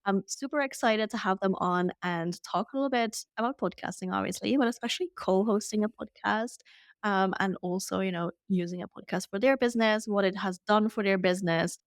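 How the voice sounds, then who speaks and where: Woman podcast host, upbeat and excited, mid pitch, dry close sound. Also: a little fast, no echo. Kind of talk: interview podcast.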